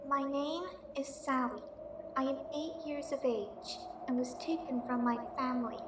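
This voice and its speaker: A woman's voice: high-pitched, fairly even intonation, normal speed, noisy background, close to an everyday voice. A woman voicing a frightened, lonely eight-year-old lost girl in an eerie, plaintive story monologue. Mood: scared, pleading. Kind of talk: dramatic audio fan fiction monologue. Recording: echoey, distant, music behind. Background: music.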